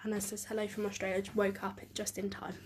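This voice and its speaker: Quiet woman reading comments off-mic. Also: medium pitch, monotone, fast talker, husky. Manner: quiet, off to the side. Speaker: helper reading out viewer comments.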